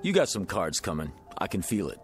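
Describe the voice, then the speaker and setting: An actor playing a smooth-talking male card dealer in a radio drama, slick and needling. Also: low voice, huge pitch swings, brisk, soft background music. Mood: sly.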